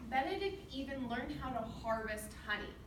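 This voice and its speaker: A woman's voice: high voice, lively intonation, normal speed. A woman teaching through an interactive storybook read-aloud, encouraging and often putting questions to her young listeners. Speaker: student teacher reading aloud to a class. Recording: roomy, distant microphone, clear background noise.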